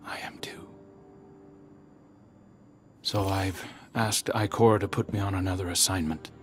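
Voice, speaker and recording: Deep, slow, brooding prince. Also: male voice, fairly even intonation, soft background music. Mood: remorseful, resolved. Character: brooding, remorseful reborn prince in a sci-fi fantasy game. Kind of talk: video game cutscene acting.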